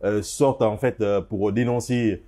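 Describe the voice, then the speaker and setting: Man, interviewer, low voice, mellow, hesitant, lots of fillers. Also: steady tone. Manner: halting, with many hesitations. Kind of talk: interview questions.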